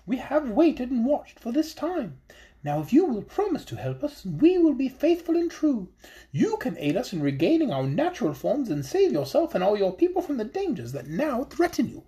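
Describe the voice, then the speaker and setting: A reader voicing a solemn enchanted talking fish, speaking in a raised, measured, instructive tone. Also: medium pitch, wildly swooping pitch, mellow, voice pushed up. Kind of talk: audiobook character reading.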